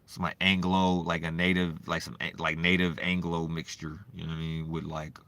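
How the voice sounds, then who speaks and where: A man vlogging in a loose, profane, rambling monologue, swinging between animated bursts and low mumbling. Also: normal speed, clean tone. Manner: loose, profane and repetitive, full of "you know what I'm saying".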